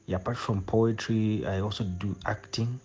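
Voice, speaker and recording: A man's voice: on the low side, steady tone, normal speed, a little mellow. A man in his twenties with a Zambian accent, answering interview questions as a guest poet in a hesitant way, often repeating phrases as he thinks. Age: 20s.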